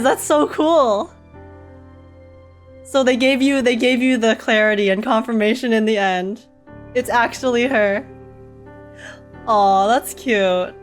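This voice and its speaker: A giggly, excitable woman giving casual reaction commentary as she plays a game. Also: a little high, measured pace, pauses often, faint music behind.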